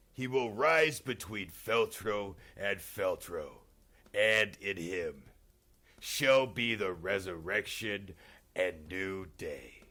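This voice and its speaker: A man's voice: on the low side, pushed and bright, exaggerated voice. A man voicing the ghost of an ancient poet, a grave prophetic guide, declaiming solemnly in an epic poetry reading. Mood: grave warning. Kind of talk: dramatic storybook poetry reading.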